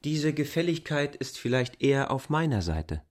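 An actor playing a stubborn, argumentative land surveyor in a literary radio drama, speaking insistently and provocatively. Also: male voice, mid-range voice, big pitch swings, normal speed, lightly acted. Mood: defiant, arguing.

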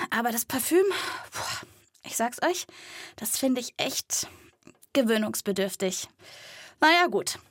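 High-voiced woman hosting a children's podcast. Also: no echo, clean studio sound. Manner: direct, chatty address to young listeners. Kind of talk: lively scripted narration for children.

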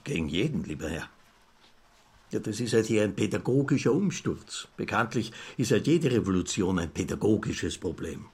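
An actor playing a garrulous Viennese newspaper editor and amateur philosopher in a radio drama, lecturing pompously in an Austrian accent with a husky voice. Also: male voice, lowish voice, lively intonation, normal speed, darkish tone. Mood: scornful, lecturing. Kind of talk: literary radio drama dialogue.

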